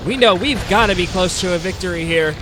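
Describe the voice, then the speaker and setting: A man narrating video game play in a theatrical, intense documentary style with deliberate phrasing, speaking as the hunter himself. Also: high voice, music in the background. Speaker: gaming video narrator playing a monster hunter.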